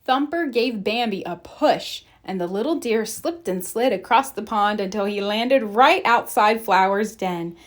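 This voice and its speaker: A woman reading a children's story aloud in an expressive, deliberate storybook narration style. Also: mid-range voice. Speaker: storybook reader.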